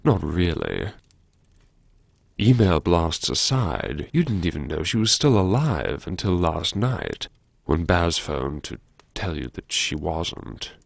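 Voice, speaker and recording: A man playing a brooding, wry academic who talks to himself in an intimate, sardonic audiobook monologue full of regret, with a gravelly voice. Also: deep voice, very animated, no echo, exaggerated voice. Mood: somber, musing. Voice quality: gravelly, creaky. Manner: sardonic, musing, self-reproachful, with sighs and pauses. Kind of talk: first-person audiobook monologue, intimate dramatic narration.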